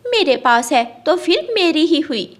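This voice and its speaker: Woman as a cocky high-voiced thieving crow. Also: big pitch swings, measured pace. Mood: brazen. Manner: cocky, brash. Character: vain, sly thieving female crow, brazen.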